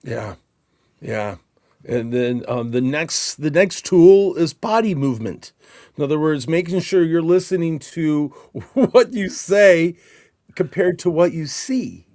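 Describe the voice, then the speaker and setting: A man's voice: a little high, expressive, slow pace, darkish tone, no room sound, studio quality. A man co-hosting a casual instructional discussion, thinking aloud in a loose, conversational way. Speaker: podcast co-host and Christmas performer.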